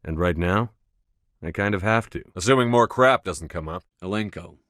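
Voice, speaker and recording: Video game military commander: low-pitched man, dry studio sound, resolute, measured, quietly authoritative. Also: no room sound. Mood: earnest, intimate. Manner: measured, firm, clipped military delivery. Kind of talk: scripted video game dialogue.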